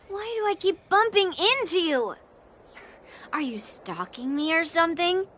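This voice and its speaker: A woman's voice: very high voice, animated, fairly bright tone, a little hiss or hum, full character voice. An actress playing a cocky, blunt young swordswoman in a video game, with a smug, teasing delivery. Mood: annoyed. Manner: taunting, unhurried, smug.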